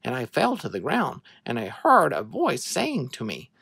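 A narrator reading scripture to children as a dramatic story, in an expressive, measured way. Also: mid-range voice, creaky, husky, no echo.

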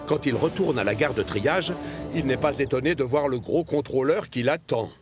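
A man narrates a children's cartoon story. His voice sits at a medium pitch, with music clearly playing behind it. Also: normal speed.

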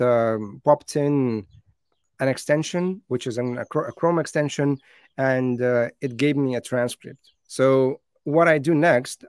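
A man in his thirties, a native Lithuanian speaker, gives a conference talk over slides, halting with many fillers and restarts. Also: medium pitch, no room sound, studio quality.

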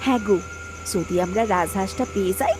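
A woman's voice: medium pitch, brisk, noisy background, close to an everyday voice. A woman voicing a greedy village wife in a cartoon, insistent and demanding. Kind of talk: cartoon dialogue acting. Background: music.

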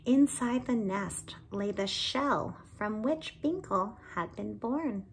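A woman's voice: medium pitch, expressive, slow pace, slight background noise, poor audio. A woman in her thirties, a teacher reading a picture book aloud to children, adding friendly asides and explaining words, recorded close on a phone camera in a small room at home. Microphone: phone or laptop camera close.

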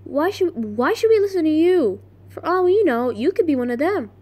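Suspicious young cartoon survivor girl, played by an amateur actress, defiant, pitched high, slightly cracking from flu. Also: very animated, moderate pace, a little mellow.

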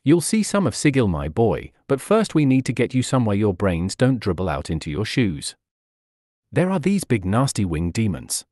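Doddering old male wizard, wheezy and scolding, lively pitch, dry sound; a man reads him. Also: moderate pace, no echo. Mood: fretful. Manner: rambling, forgetful, scolding.

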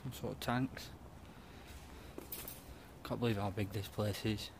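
A man's voice: lowish voice, steady tone, measured pace, creaky, slight background noise. A man, giving offhand, mumbled commentary while filming inside a large empty factory hall on a handheld camera. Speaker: explorer filming. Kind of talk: casual walkthrough commentary. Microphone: handheld camera mic.

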